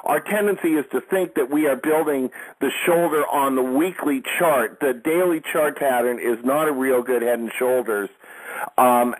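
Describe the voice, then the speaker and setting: A man speaking off the cuff as a market analyst hosting a call, in a measured, matter-of-fact advisory way. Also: mid-range voice, breathy edge, low-quality recording. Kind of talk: unscripted market commentary.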